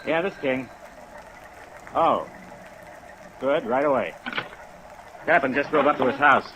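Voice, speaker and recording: A plainspoken sheriff's deputy, played by a man, talks at an average male pitch. He is matter-of-fact and unhurried, in a clearly noisy 1950s radio drama recording. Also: dull audio, lightly acted.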